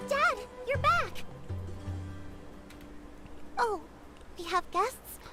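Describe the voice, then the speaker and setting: A woman's voice: very high-pitched, sing-song, extremely slow, sharp tone, faint music behind, heavily acted. A woman voicing a shy, sweet, timid little girl in a video game. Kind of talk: scripted video game voice acting.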